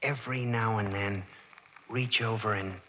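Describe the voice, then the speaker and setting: An actor playing a dim-witted, sleepy comic sidekick in a 1940s radio drama, with a slow, drawling delivery. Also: male voice, on the low side, fairly even intonation, natural voice. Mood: drowsy. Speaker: detective's sidekick. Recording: muffled 1940s radio broadcast with faint hiss.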